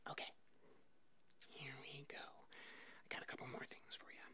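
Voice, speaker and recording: A woman voicing an eccentric, bumbling puppet monster hairdresser in a halting, breathy whisper for an ASMR roleplay. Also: pushed and bright. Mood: calm, quirky. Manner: halting, hesitant, slow.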